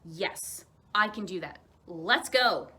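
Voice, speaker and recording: Woman voicing an excitable, eager young girl moose. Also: mid-range voice, slow. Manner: excitable, bouncy delivery. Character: eager young female moose, playful and determined.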